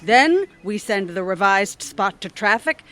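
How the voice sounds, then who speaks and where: An actress playing a scheming, sardonic office boss in an audio comedy, arch and mischievous. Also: female voice, mid-range voice, lively intonation, a little slow, fairly bright tone. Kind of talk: audio theatre comedy acting.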